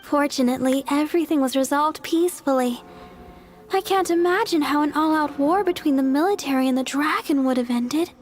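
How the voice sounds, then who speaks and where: Woman as a very high-voiced young church deaconess. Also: brisk, faint music behind, lightly acted. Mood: polite, earnest. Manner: polite and earnest, exclamatory. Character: sweet, devout young female church deaconess, easily flustered. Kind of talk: video game character acting.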